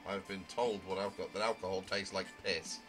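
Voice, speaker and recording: A man's voice: low voice, little pitch movement, a little fast, a bit bright. A man voicing a naive, chatty, prim young newcomer who narrates a visual novel in a wordy, rambling way. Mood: observant, prim. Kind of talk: amateur visual novel voice acting, first-person narration. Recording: music under the voice. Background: music.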